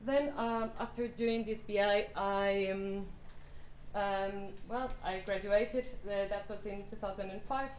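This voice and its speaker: A woman's voice: medium pitch, steady tone, measured pace, darkish tone. A woman in her thirties from Portugal, with a Portuguese accent, giving a guest talk. She speaks with frequent fillers and restarts. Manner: many fillers and restarts, slightly non-native phrasing. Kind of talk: hesitant lecture talk. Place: lecture room. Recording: roomy hall with faint noise.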